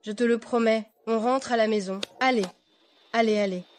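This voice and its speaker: A woman acting a young wife in a dubbed melodrama. Her voice is fairly high, polite and placating with mild exasperation, over faint background noise. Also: steady tone, moderate pace, lightly acted. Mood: exasperated, appeasing.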